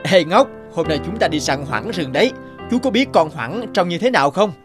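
A man's voice: high-pitched, lively intonation, moderate pace, music in the background. A man voicing an arrogant, bullying young prince with a mocking manner in a cartoon. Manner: mocking, scornful.

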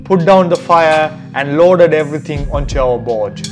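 A man's voice: fairly high pitch, moderate pace. A man reading a scripted voice-over about a trip as a vlog narrator. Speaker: vlogger narrator. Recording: music behind the voice. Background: background music.

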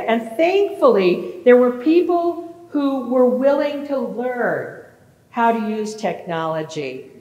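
A middle-aged woman pastor preaching a sermon in a large church sanctuary, animated and questioning her congregation. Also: fairly high pitch. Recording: echoey church with long reverb.

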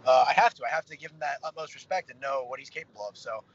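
A man's voice: medium pitch, racing. A young man in a parked car, speaking on a video call as a fighter being interviewed, upbeat and rambling with many fillers. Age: young adult. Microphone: phone camera on a video call. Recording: slightly dull video-call audio with faint car hum.

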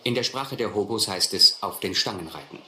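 An actor playing a reflective narrator who recalls his hobo youth in a radio play, measured and literary. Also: on the low side, close to an everyday voice. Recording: roomy, clearly noisy radio play recording.

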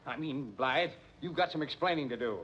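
An actor playing a gruff, sarcastic police chief in a 1940s radio crime drama. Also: male voice, fairly high pitch, brisk, a bit bright, muffled recording. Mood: firm, accusing. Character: brusque, wry male police chief in command.

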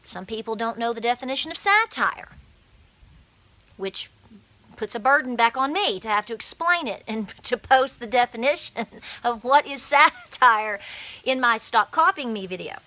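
A woman's voice: fairly high pitch, expressive, moderate pace, sharp tone. A woman, talking emphatically and animatedly to a phone camera outdoors on her porch as a homesteading vlogger. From Appalachia, USA. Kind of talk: passionate straight-to-camera talk. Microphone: phone camera at arm's length.

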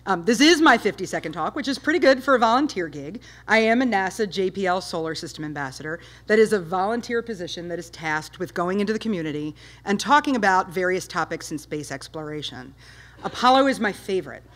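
A woman in her fifties giving an enthusiastic public talk with slides, loud and emphatic, firing rhetorical questions at the audience. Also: mid-range voice, expressive, quick pace. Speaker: guest speaker, space history presenter. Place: dark lecture hall with projector screen.

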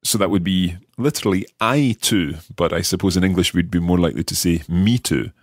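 Male co-host, very low voice, inquisitive learner, dry studio sound. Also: dry recording. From Scotland. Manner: inquisitive, repeats phrases slowly. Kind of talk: language lesson dialogue.

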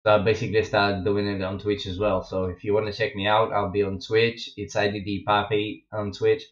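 A man's voice: lowish voice, flat delivery, brisk. A young Russian-born man with a Russian accent, chatting casually as a streamer in his gaming room, rambling with many fillers and restarts. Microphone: wireless headset. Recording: echoey, dull lo-fi home mic.

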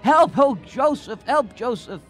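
An actor in a radio drama playing a kindly, anxious, bumbling guardian angel with an earnest, moralizing manner. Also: male voice, very high-pitched, expressive, measured pace, faint music behind. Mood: panicked calling.

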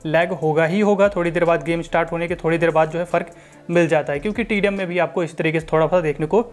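A man's voice: a little high, fairly even intonation, quick pace. A man giving a casual, informal tech review voice-over, explaining things in a repetitive way. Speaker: tech reviewer, channel host.

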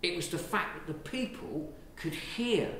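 An Englishman, a priest, giving a lively, conversational sermon from the pulpit of a large church, filmed from a distance. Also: high-pitched, a little slow. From England. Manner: lively, conversational preaching with gestures. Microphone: far camera. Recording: very echoey church from far off, faint hiss.

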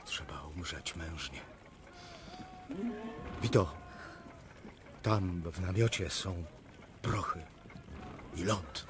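An actor playing a proud, anguished prince and wounded army commander in a radio drama, declaiming with passion. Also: male voice, low voice, extremely slow, pauses often, background noise. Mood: grave resolve. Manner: impassioned, declamatory. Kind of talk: theatrical radio drama acting.

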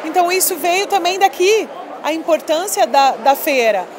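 High-voiced woman at a noisy expo. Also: expressive, normal speed, crowd noise. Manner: chatty, emphatic, many filler "né". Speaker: former volleyball player, interviewee. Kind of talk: casual interview. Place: busy expo hall, indoors. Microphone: handheld mic held by interviewer.

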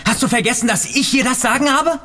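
An actor playing an arrogant, hot-tempered young bank heir in a German audio drama, blustering and sneering. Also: male voice, very high-pitched, quick pace, pushed and bright, faint music behind. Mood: indignant, blustering.

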